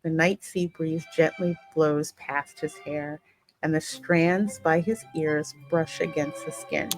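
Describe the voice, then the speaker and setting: A woman's voice: deep voice, steady tone, slow, poor audio. A woman hosting a gaming channel, reading dialogue aloud and reacting in a chatty, playful, teasing way. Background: game music.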